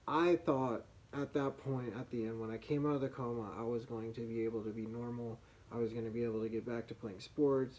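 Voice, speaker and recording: A man with a soft voice from slightly damaged vocal cords, telling a personal story as a vlogger in a halting way, with pauses and restarts. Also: mid-range voice.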